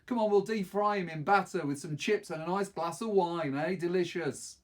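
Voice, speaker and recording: A man's voice: high-pitched, moderate pace, pitched above the performer's own voice, natural voice. A man voicing a cheeky, wry teenage boy in a storybook reading. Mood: joking.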